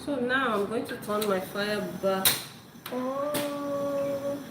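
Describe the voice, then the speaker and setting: Yoruba-speaking lady cooking live, chatty, repeats herself, roomy kitchen sound, loud noise behind. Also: high-pitched, steady tone, takes it slowly. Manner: chatty, repeats words, talks while cooking. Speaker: cooking show host. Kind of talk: live-streamed cooking demonstration. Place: home kitchen.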